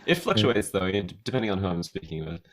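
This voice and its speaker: A man from California, with an American accent tinged with Australian, chatting as a guest singer-songwriter in a relaxed, hesitant way full of fillers. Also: low-pitched, fast talker. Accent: American, slightly Australian. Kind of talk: casual interview chat. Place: his home recording studio.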